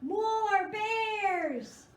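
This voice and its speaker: A woman puts on a gleeful childlike heckler from a picture book. The voice is extremely high and calls out in long, slow, drawn-out demands. It comes from off to the side in an echoey room. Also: expressive, heavily acted. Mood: gleeful demanding. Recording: roomy, off-camera, distant from mic.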